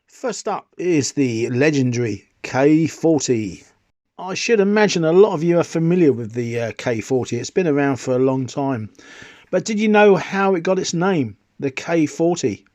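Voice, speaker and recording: British man, middle-aged, slightly high voice, chatty hobbyist presenter, explanatory review narration, dry close sound. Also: expressive, moderate pace, darkish tone, no room sound. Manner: chatty, explanatory, with rhetorical questions. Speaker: video presenter and radio hobbyist. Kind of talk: informal scripted product review narration.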